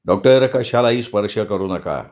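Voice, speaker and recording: A man voicing a composed detective who speaks low and measured. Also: darkish tone, lightly acted. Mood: calm. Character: calm male detective, steady and firm.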